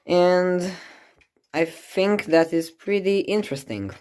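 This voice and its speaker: Amateur collector, unhurried and mid-pitched, informal show-and-tell delivery, hesitant and thinking out loud. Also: smooth. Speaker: clock collector presenting a clock. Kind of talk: casual hands-on demonstration. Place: indoors beside a ticking clock.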